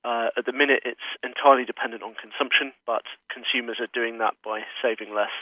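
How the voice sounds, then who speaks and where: A man speaking over a telephone line as an economist guest, giving measured, analytical answers in an interview. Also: medium pitch, fairly even intonation, no room sound. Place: remote, on a phone call. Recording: narrow, tinny phone line.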